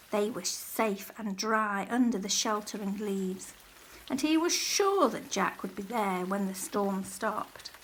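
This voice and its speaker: A woman reading a children's picture book aloud as a teacher, in a measured, expressive storytelling manner. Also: fairly high pitch, slight background noise. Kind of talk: storybook read-aloud, scripted narration.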